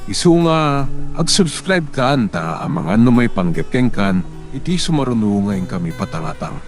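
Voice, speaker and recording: A man's voice: medium pitch, very animated, normal speed. A man with a Filipino accent giving scripted promotional narration as the host of a drama show. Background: guitar music.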